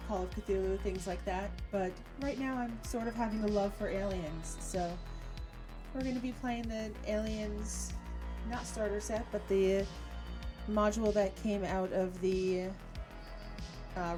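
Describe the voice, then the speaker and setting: A woman's voice: mid-range voice, unhurried, music in the background. A woman game master chatting casually on a tabletop game stream.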